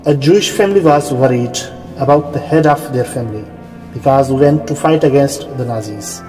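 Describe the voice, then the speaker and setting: A man giving a solemn, measured narration as a documentary intro. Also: mid-range voice. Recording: distant, echoey, under loud music.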